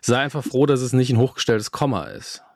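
A man's voice: medium pitch, brisk, a little mellow, no room sound, clean studio sound. A man interviewing a guest in casual chat, wry and joking in his asides. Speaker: interviewer, host.

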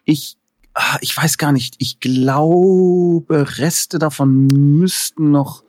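A man's voice: a little high, steady tone, drawn-out words, a little mellow, no echo, clean studio sound. A man being interviewed in casual chat, telling stories animatedly with many fillers and laughs. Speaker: interview guest, filmmaker.

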